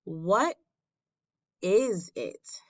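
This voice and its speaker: A woman's voice: mid-range voice, lively intonation, drawn-out words, lo-fi sound. A woman voicing a mouse girl, a patient but exasperated sister, for a children's storybook. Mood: curious. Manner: sighing, unimpressed.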